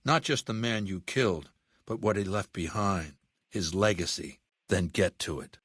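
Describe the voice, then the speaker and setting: A man's voice: low-pitched, normal speed, fairly bright tone, breathy edge, dry recording, studio quality. An older man playing an imperious, ruthless warlord in a video game, speaking with cold, commanding, formal diction. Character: imperious, ruthless ageing male warlord commanding a slaver legion. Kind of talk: video game character acting.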